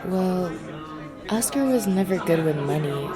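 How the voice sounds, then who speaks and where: A woman playing a kindly, concerned Victorian wife and hostess in an audio drama, gentle and chatty. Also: on the low side, lively intonation, unhurried, crowd noise. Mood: confiding.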